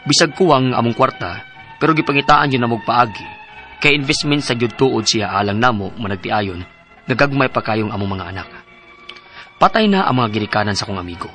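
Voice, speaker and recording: A man with a Cebuano Filipino accent acting an eager, trusting husband in a radio drama, animated and exclamatory. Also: mid-range voice, brisk, soft background music. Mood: earnest narrating. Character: eager, trusting husband and father, small investor.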